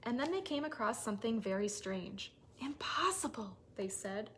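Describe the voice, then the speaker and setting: A woman hosting a toddler story time, reading aloud with expressive, simple phrasing for small children. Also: mid-range voice, moderate pace. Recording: noisy recording with clicks.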